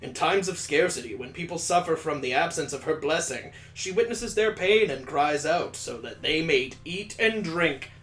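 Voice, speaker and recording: A man with a slightly high voice reads a story game's text aloud in a mock-solemn tone. He keeps interrupting himself with dry, sarcastic jokes about what he's reading. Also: animated, moderate pace, few pauses, fairly bright tone, poor audio. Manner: wry, mocking asides between dramatic readings. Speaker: let's play host.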